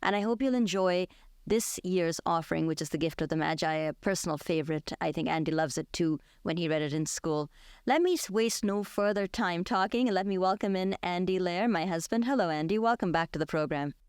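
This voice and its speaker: A woman host with a lowish, smooth and resonant voice chats casually on air with plenty of fillers. The sound is close and dry. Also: a little fast, no echo. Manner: chatty, with frequent fillers. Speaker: radio show host.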